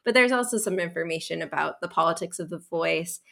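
A woman's voice: lowish voice, fast talker, gravelly, bright, forceful tone. A woman professor talking as a guest on a podcast, thoughtful, with many fillers and restarts. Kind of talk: casual podcast interview.

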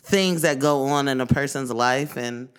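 A man's voice: mid-range voice, unhurried, clear, resonant tone. A man from Oakland co-hosting a podcast, telling stories in a loose, slangy way and swearing freely. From East Oakland, California. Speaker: podcast co-host. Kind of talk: casual podcast chat.

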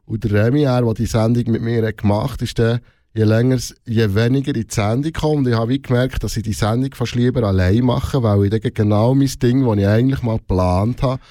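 A man's voice: medium pitch, fairly even intonation, brisk, mellow, no room sound, studio quality. A man with a Swiss German accent answers interview questions casually as a radio host, often rephrasing and repeating words as he searches for them.

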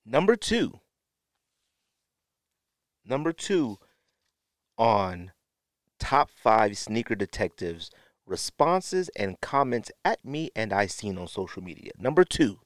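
Male American podcast host, lower register, dry recording, casual slangy storyteller who acts out dialogue. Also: slow pace, pauses often, no room sound. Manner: animated storyteller acting out quotes, slangy.

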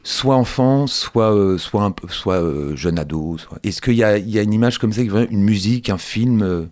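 A man hosting a conversational arts interview, speaking in halting, digressive phrases as he feels his way to each question. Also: fairly low pitch, darkish tone. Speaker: radio show host interviewing guests.

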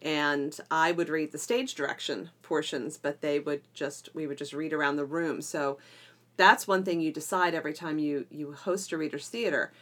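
A woman with a slightly low-pitched voice talks casually as a podcast co-host. She sounds like an enthusiast explaining something she enjoys, in an informal conversational style. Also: fairly even intonation, normal speed, a bit bright. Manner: enthusiastic, self-described geeky. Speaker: podcast co-host and drama teacher. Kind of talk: casual podcast chat about homeschooling.

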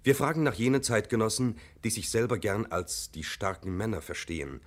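Man, very low voice, formal measured reading. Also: expressive. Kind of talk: read essay narration.

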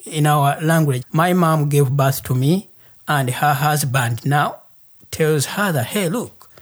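A man with a Ugandan accent telling a personal story as an interview guest, in deliberate, halting phrases. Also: fairly high pitch. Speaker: interview guest, human rights activist.

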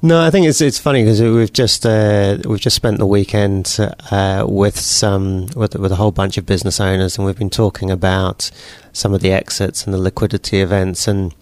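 A man's voice: fairly low pitch, moderate pace, hardly any pauses, no echo. A New Zealand-born man in his forties with a British accent, answering interview questions casually with frequent restarts. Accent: British English. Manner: relaxed, repeats words and restarts often. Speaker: guest entrepreneur.

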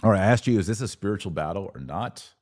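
Very deep-voiced American male podcast host. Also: lively intonation, fast talker, darkish tone, dry recording, clean studio sound. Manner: conversational, poses rhetorical questions, thinks aloud. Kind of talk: live commentary, reading and answering viewer comments.

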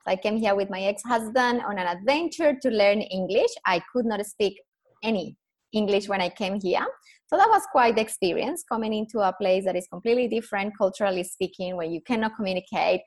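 Colombian woman telling her story. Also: mid-range voice. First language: Spanish. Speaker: guest. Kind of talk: podcast interview.

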